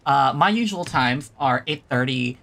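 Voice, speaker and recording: Bright, slow-paced, lively game streamer. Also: medium pitch, vocal fry. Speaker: streamer, host. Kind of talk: casual livestream game commentary.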